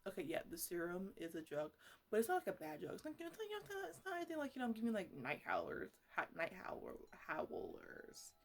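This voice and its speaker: A woman plays an eccentric lady botanist in a casual ASMR roleplay. She sits at a middle pitch and chatters in halting, repetitive bursts. She sounds defensive and fussy, as if explaining herself to the plants she experiments on. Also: huge pitch swings. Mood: defensive, coaxing. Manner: rambling, halting, repeats herself.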